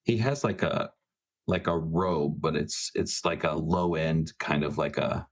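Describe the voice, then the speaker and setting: A man with a deep voice talks as a tabletop game player. He takes his time, with a casual, improvised delivery. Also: unhurried. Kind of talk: casual, unscripted game talk.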